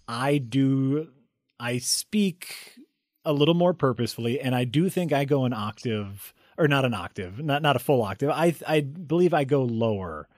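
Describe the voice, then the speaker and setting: Brief: male streamer, animated chatty co-host, dry close recording. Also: mid-range voice, dry recording. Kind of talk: casual chat.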